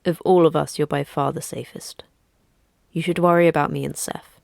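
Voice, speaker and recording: A woman's voice: low voice, fairly even intonation, moderate pace, creaky, darkish tone, no room sound, clean studio sound. A woman playing a guarded, evasive schemer in a sci-fi horror audio drama, answering in curt, cool deflections.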